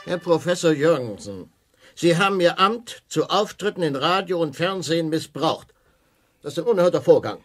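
An actor playing a stern, authoritarian government minister in a German radio drama, formal and officious. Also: male voice, fairly high pitch, lively intonation, normal speed, fairly bright tone, faint music behind. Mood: stern, reprimanding.

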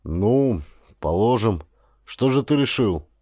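A man's voice: fairly low pitch, animated, takes it slowly, dark tone, dry recording, studio quality. A man voicing a proud, blunt middle-aged father talking affectionately to his grown son in an audiobook. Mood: expectant. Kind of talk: audiobook character dialogue.

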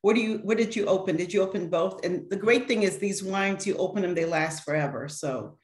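A woman's voice: medium pitch, brisk. Upbeat woman hosting in an echoey room. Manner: lively, encouraging, laughs easily. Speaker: host. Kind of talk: video call conversation. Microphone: video call.

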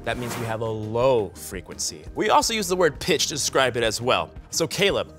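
A man in his twenties giving an upbeat, encouraging science demonstration for children, with an animated and exclamatory manner. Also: mid-range voice, brisk. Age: 20s. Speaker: science show host. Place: TV studio set. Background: music.

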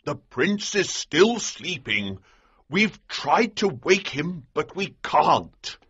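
A man voicing a bold, commanding army colonel in an audiobook, with a husky voice and an urgent, emphatic delivery. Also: on the high side, very animated, slow, a bit bright, no room sound, lo-fi sound, voice pushed up, exaggerated voice. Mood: grave, urgent. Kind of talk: audiobook character dialogue.

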